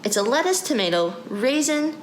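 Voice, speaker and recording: A woman's voice: sits high, big pitch swings, normal speed, voice pushed up. A woman voicing a proud, unbothered young schoolgirl in a storybook, cheerful and insistent as she explains. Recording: roomy echo, background noise.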